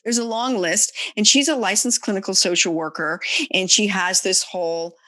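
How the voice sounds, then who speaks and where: Bright, forceful woman podcast host. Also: mid-range voice, fairly even intonation, normal speed, no room sound. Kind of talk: conversational interviewing.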